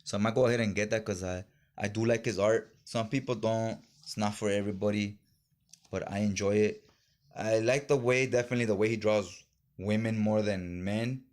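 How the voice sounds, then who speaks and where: A man's voice: lowish voice, steady tone, moderate pace, a little mellow. A man chatting casually in slangy, informal fan talk as he reviews things for a vlog. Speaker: comic book haul reviewer.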